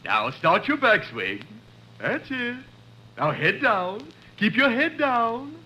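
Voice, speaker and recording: A man's voice: high voice, big pitch swings, takes it slowly, sharp tone, lo-fi sound, heavily acted. An actor playing an eccentric, money-minded golf instructor in an old-time radio comedy, drawing out his instructions with sudden outbursts. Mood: instructing. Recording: noisy vintage radio recording.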